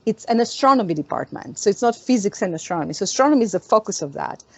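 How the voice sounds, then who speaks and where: A woman from Greece, an astronomer answering interview questions in an animated, storytelling way, often laughing. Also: medium pitch, fast talker, creaky, husky, no echo. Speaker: astronomer, guest. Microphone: video call.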